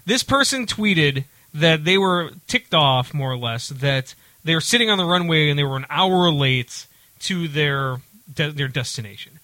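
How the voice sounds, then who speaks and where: A middle-aged man hosting a talk show with casual, comedic banter and an animated, exclamatory way of talking. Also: fairly high pitch, measured pace, dry recording, poor audio.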